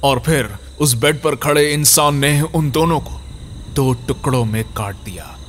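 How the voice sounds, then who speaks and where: A man's voice: mid-range voice, unhurried, slight background noise. A man narrating a scripted horror story as its storyteller.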